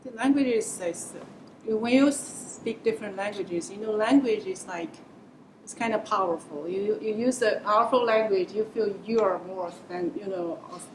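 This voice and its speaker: A woman speaking English as a second language on a panel, halting and thoughtful as she works out her phrasing. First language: not English. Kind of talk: panel discussion. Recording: echoey room with audible rumble.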